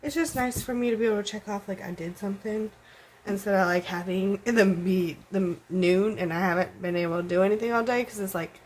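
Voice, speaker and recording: A stuffed-up woman at home talks through her planner in an easy, rambling way, full of ums and likes. Her voice sits at a middle pitch. A faint background hum stays under her. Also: fairly quick, darkish tone, poor audio. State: stuffed up with a cold. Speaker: planner vlogger. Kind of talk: casual plan-with-me chat.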